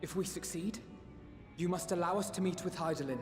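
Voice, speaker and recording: Young game diplomat, male actor: courteous, determined, lightly high-pitched, echoing. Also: fairly quick, natural voice. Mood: earnest resolve. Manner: formal, courteous negotiating. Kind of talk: scripted video game voice acting. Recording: reverberant game audio with loud music.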